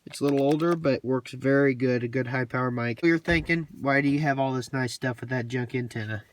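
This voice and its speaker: A man's voice: medium pitch, brisk. Plainspoken man, recorded away from the mic. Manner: plainspoken, folksy, colloquial grammar. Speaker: hobbyist presenter demonstrating his radio gear. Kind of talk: casual hands-on walkthrough. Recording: distant mic, light background noise, clicks.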